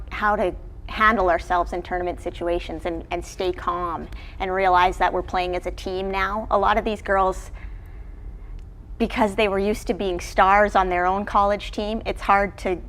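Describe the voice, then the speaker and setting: A woman's voice: mid-range voice, a bit bright. A woman who coaches college sport, answering interview questions in a casual, conversational way. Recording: clearly noisy background ambience.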